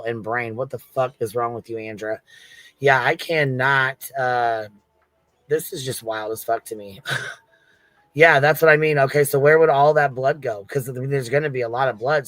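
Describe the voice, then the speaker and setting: A man's voice: medium pitch, fairly bright tone, dry recording. Male live-stream host, casual and profane, medium voice, repeats himself for emphasis, close dry sound. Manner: blunt, heavily profane, exasperated. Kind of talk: casual true-crime reaction chat.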